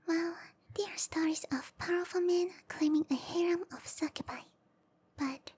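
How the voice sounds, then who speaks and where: High, whispering seductive matchmaker played by a woman. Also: mellow, lightly acted. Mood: sultry, informative. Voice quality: breathy whisper. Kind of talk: whispered ASMR roleplay.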